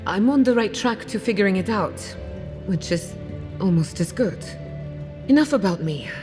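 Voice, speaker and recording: A woman playing a confident, dryly witty scholar in a video game, measured and guarded. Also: medium pitch, expressive, lightly acted. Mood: determined. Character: driven, wry female scholar, guarded about family loss. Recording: music playing behind the voice.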